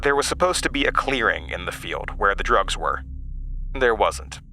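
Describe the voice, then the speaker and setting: A man's voice: fairly low pitch, animated, normal speed, bright, forceful tone, full character voice. A man playing an earnest, rueful narrator of a horror audio drama, recounting his childhood in conversational, confessional asides. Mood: uneasy. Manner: conversational, hesitant asides to the listener.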